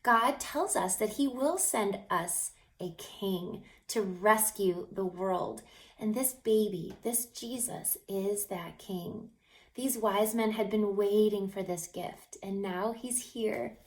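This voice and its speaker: Woman doing a kids story read-aloud, really slow, dramatic, draws words out, goes hushed in places. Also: mid-range voice, poor audio. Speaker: storybook reader. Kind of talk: expressive children's read-aloud narration.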